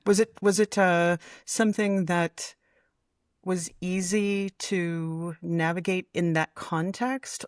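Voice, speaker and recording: A woman hosting an interview podcast, speaking in measured, articulate phrases. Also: sits low, no room sound, clean studio sound. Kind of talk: scripted introduction, then conversational interviewing.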